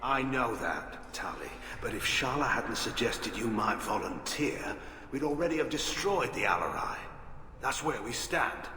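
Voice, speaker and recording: An actor playing a gruff, hawkish middle-aged alien admiral in a video game, blunt, clipped and wry. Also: male voice, mid-range voice, animated, measured pace, sharp tone. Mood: grave, blunt. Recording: echoey hall, music behind.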